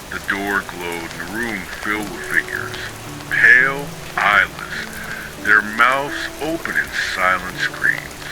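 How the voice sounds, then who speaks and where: A man reading scripted ghost stories for sleep in a measured, dramatic storytelling manner. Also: fairly low pitch, background noise. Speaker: narrator of horror stories.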